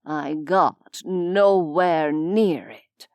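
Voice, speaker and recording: A wry, world-weary old nobleman tells his tale in an audiobook, voiced by a woman. The voice sits low and moves at a very unhurried pace. The sound is dry and close. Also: a little mellow, smooth, no echo, exaggerated voice. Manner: slow, measured, dryly self-mocking. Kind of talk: audiobook character voice.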